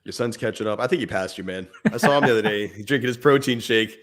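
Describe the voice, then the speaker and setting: A man's voice: on the low side, quick pace, fairly bright tone. A man co-hosting a fatherhood podcast, talking casually and animatedly, acting out little scenes with his kids and laughing often. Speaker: podcast co-host. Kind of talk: casual parenting advice chat.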